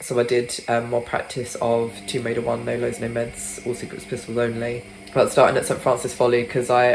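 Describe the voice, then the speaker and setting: A woman's voice: rumbling low, darkish tone, a little hiss or hum, low-quality recording. A British woman chatting casually in a vlog, rambling and swearing freely, sounding a bit congested. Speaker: gaming vlogger.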